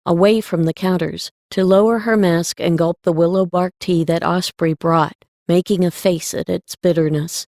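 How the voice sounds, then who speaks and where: A woman's voice: fairly low pitch, darkish tone, dry recording, studio quality. A woman narrating a scripted story as an audiobook reader.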